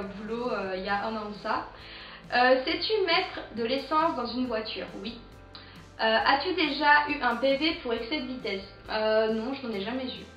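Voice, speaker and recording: Young French woman, casual vlogger, halting and chatty; muffled, lo-fi take with faint music behind. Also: on the high side, a bit bright. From France. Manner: chatty, many hesitant "euh" fillers. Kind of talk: casual Q&A tag video. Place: home in England. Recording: roomy, distant, dull sound with music.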